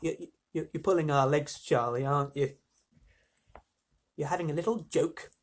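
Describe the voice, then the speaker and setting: A reader voicing a very old storybook grandfather, gentle yet wildly exuberant. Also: mid-range voice, moderate pace, natural voice. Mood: soft, disbelieving.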